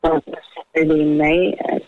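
A woman's voice: low-pitched, flat delivery, a little slow, darkish tone, dry recording. Woman, from the US, singer on a phone call, upbeat, casual, dry close sound. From United States. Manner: upbeat, casual, self-assured. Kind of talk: phone interview.

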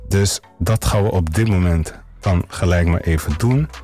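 A man's voice: low-pitched, moderate pace, mellow, faint music behind. A man from the Netherlands with a Dutch accent, hosting a radio show and interviewing a guest in a casual, hesitant way with many fillers.

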